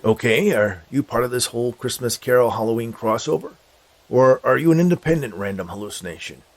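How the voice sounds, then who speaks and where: A man's voice: mid-range voice, brisk, lightly acted. A man voicing a grumpy, jaded man with a dry, impatient manner in a story. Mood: exasperated. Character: Harrison, grumpy, jaded, sarcastic grown man.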